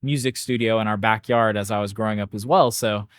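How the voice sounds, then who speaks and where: A man's voice: fairly low pitch, steady tone, brisk, dry recording. Young male guest, easygoing storyteller, dry close mic. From Barbados and San Diego. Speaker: podcast guest. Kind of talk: casual interview chat.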